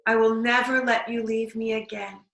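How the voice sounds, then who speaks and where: A woman's voice: a little high, little pitch movement, moderate pace, creaky, a bit bright, roomy sound. A woman voicing an affectionate little girl in a children's storybook. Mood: tender relief. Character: Marcella, affectionate little girl who loves her doll.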